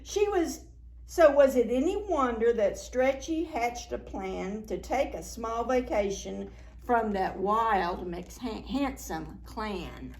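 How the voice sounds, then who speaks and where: A woman reading a picture book aloud to preschoolers as a story time host, expressively, with asides and questions to her young listeners. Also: a little high.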